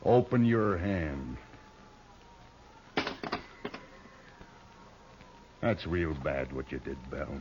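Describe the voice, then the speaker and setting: An actor playing a menacing, bullying outlaw leader in an old-time radio western, with an American accent and folksy, taunting threats. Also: male voice, extremely low pitch, lively intonation, measured pace, a little mellow. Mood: cold menace. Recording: dull, noisy old radio recording.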